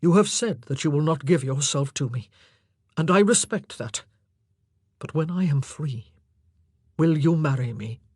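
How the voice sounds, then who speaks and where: A narrator voicing an anguished, impassioned and forceful male monarch in an audiobook drama. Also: mid-range voice, animated, measured pace, long pauses, breathy edge, no room sound, clean studio sound. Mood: pleading, ardent.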